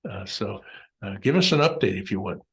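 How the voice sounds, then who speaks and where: A man's voice: lowish voice, expressive, a bit bright. A man hosting a podcast interview over a video call from his home office, rambling with lots of fillers. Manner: rambling, halting, many fillers. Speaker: podcast host. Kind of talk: casual interview.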